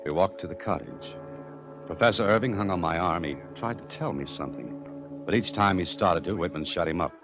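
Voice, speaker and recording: Cool American hero, wisecracking, deep voice, 1940s radio acting, dull old recording. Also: male voice, animated, a little fast, creaky, loud music behind the voice. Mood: narrating tersely. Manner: unruffled, wisecracking, clipped. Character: cool, wry male adventurer and narrator.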